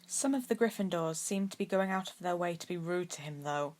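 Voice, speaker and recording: A woman's voice: fairly low pitch, a little fast, a little mellow. A woman reading scripted story narration as an amateur audiobook narrator.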